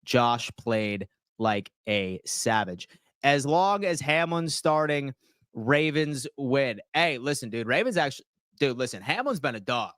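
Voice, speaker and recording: A man's voice: mid-range voice, measured pace, dry recording, clean studio sound. Slangy guy reading his chat. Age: 30s. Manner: slangy, animated, reads chat aloud and answers. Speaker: sports livestream host. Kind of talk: casual livestream chat reading viewer comments.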